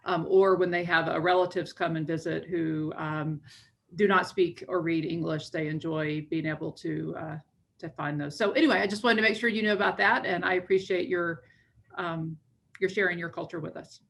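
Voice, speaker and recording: A woman's voice: on the low side, fairly even intonation, moderate pace, vocal fry, fairly bright tone. A woman representing a library, speaking in a friendly, informative way on a video call. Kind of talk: casual meeting talk.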